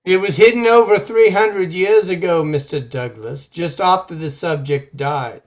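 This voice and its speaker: An actor playing a grave, formal man who recounts a dark legend with deliberate drama, in old-time radio horror style. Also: sits high. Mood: ominous, formal.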